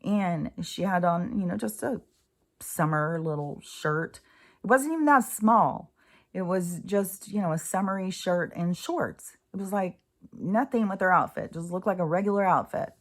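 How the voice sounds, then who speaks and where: A woman's voice: lowish voice, normal speed. A woman in her sixties talking casually and candidly in a rambling spiritual monologue, swearing freely. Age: 60s. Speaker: host.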